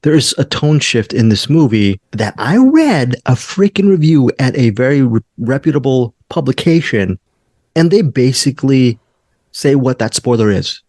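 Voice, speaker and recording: A man's voice: mid-range voice, very animated, normal speed, a little mellow, no echo. A man hosting a movie review show, chatting casually and checking in with his co-hosts. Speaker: host of a film review show.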